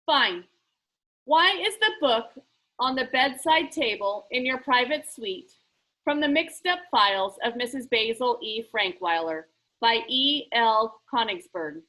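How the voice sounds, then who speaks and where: Snobbish storybook schoolboy, read by a woman: high, bright, haughty and scheming. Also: lively intonation, a little slow, poor audio. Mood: challenging. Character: smug, snobbish rich boy, scheming and competitive.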